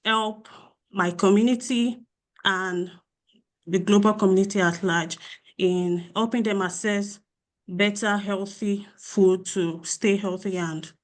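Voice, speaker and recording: A woman's voice: mid-range voice, steady tone, slow pace. A young woman from Nigeria, a graduate student, introducing herself on a webinar panel with many ums and restarts.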